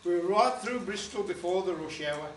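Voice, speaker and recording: A man's voice: high-pitched, lively intonation, moderate pace, slight background noise. An older man telling a chatty, rambling comic story to a small folk club, with lots of asides. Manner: gestures broadly while standing. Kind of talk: comic spoken-word tale. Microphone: far camera. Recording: roomy, distant camera audio.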